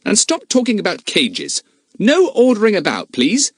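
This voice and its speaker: A man's voice: high voice, wildly swooping pitch, normal speed, creaky, breathy edge, no echo, studio quality, voice pushed up, heavily acted. A male narrator voicing an emphatic, hot-tempered schoolboy. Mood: indignant. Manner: indignant, emphatic.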